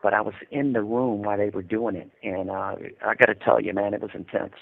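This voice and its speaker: A man's voice: lowish voice, fairly even intonation, fairly quick, gravelly. A man in his fifties with an American accent, talking conversationally and explaining things over a phone line as an interviewee. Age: 50s. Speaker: incarcerated interviewee calling from prison. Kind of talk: casual phone interview, recounting experiences. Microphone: prison telephone. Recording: tinny narrow-band telephone line.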